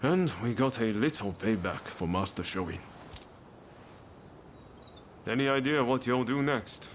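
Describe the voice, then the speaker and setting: An actor playing an easygoing, friendly samurai rebel in a video game, talking in a casual, conversational way. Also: male voice, medium pitch, expressive, a little slow, a little hiss or hum, lightly acted. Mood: reflective, reassuring. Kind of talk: video game cutscene acting.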